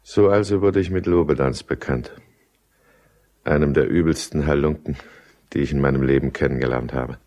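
An actor in a German radio drama playing a bitter, runaway alcoholic husband, both as literary narrator and as a peevish, commanding character. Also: male voice, extremely low pitch, moderate pace, warm tone, muffled recording. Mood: brooding narration. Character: bitter, runaway alcoholic middle-class husband telling his downfall.

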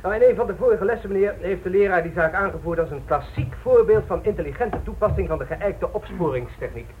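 Actor as a fast-talking, deferential young police trainee. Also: male voice, high-pitched, natural voice. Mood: hesitant, respectful. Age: young adult. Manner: deferential, hesitant. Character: polite, hesitant, eager young detective trainee. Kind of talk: Dutch radio play acting. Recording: tinny, noisy old radio play recording.

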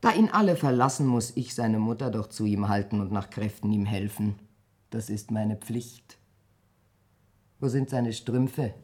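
A woman's voice: a bass voice, measured pace, darkish tone, exaggerated voice. An actress playing a frail, aggrieved old peasant mother in a radio play, lamenting emphatically and fiercely loyal to her son. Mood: protesting, defiant. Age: older. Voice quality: husky, breathy. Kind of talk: German radio play acting.

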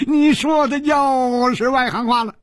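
A man voicing a small, crude, boastful old martial-arts villain in an audiobook, cackling and taunting in a husky voice. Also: high-pitched, big pitch swings, unhurried, no room sound, studio quality, voice pushed up, full character voice. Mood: smug, chuckling.

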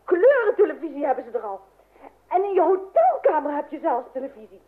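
An actress playing an excitable, worn-out young daughter with gushing exclamations, in an old-fashioned radio play. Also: female voice, sits high, brisk. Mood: excited, gushing. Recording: tinny band-limited old radio recording.

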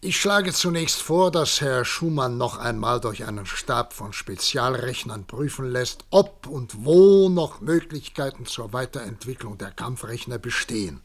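A man's voice: medium pitch, expressive, a little slow, few pauses, lightly acted. An actor playing a stern, resolute male government leader in a radio play, speaking formally and emphatically like an orator. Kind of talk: German science-fiction radio play acting.

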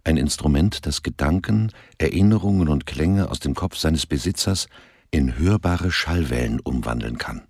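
A man's voice: a bass voice, expressive, moderate pace, darkish tone, slightly rough, no echo, clean studio sound, exaggerated voice. A man narrating a radio drama as a sober, detached storyteller, reading from a script.

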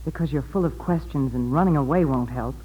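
A woman's voice: extremely low pitch, brisk, husky. Wry showgirl on dull old radio. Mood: encouraging, wry. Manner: wry, encouraging. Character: wry, warm-hearted, steady female nightclub performer. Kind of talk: 1940s radio drama acting. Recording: dull, noisy 1940s radio recording.

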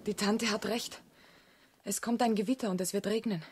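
A woman's voice: mid-range voice, steady tone, moderate pace, darkish tone, breathy edge. A woman acting a bold, impatient young noblewoman, romantic and mocking, in a German radio drama. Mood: restless, dreamy.

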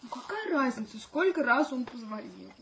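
A woman's voice: high-pitched, lively intonation, moderate pace, a little hiss or hum. An actress playing a breezy, dreamy young expectant mother in a radio play, with lilting, sighing exclamations. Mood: sleepy, easygoing. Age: young adult.

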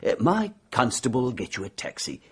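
A British police superintendent in a radio mystery. His voice is very husky, with hugely varied intonation. He probes shrewdly but sounds fatherly and understated. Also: medium pitch, wildly swooping pitch, brisk, full character voice. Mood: probing, reassuring. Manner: fatherly, probing, understated, with hesitant lead-ins. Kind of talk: radio drama acting.

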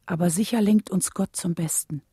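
An actress playing a grave widow who narrates a radio play in a sober, measured way. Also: female voice, fairly low pitch, warm tone, husky, no echo, studio quality. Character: Coretta King, grave widow recounting her husband's death.

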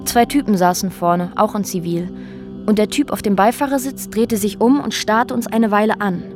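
A woman's voice: medium pitch, normal speed, over music, natural voice. An actress as a sober woman recounting an ordeal. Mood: grave, restrained. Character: woman quietly recounting a brutal police ordeal, former activist. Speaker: radio drama actor. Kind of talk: German radio drama dialogue and narration.

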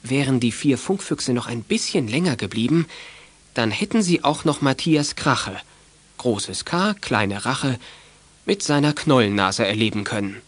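A man's voice: mid-range voice, lively intonation, measured pace, breathy edge. A man giving scripted narration for a youth detective radio play.

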